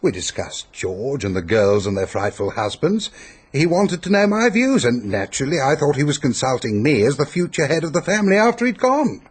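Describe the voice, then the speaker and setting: An actor in a radio drama playing a pompous, peevish, self-pitying upper-class English hypochondriac who blusters and complains. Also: male voice, fairly high pitch, sing-song, a little fast, slightly rough. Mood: resentful, pompous.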